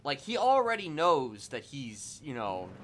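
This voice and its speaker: A man's voice: medium pitch, wildly swooping pitch, a little slow. A man livestreaming casual commentary on a roleplay game, explaining things in a rambling, hedging way. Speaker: streamer.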